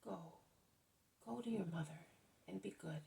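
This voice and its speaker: A woman with a gravelly voice reading aloud, voicing Jesus as a gentle, calm male teacher who speaks softly and quietly. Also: low-pitched, takes it slowly, far from the mic, exaggerated voice. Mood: tender. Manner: soft, hushed and calm.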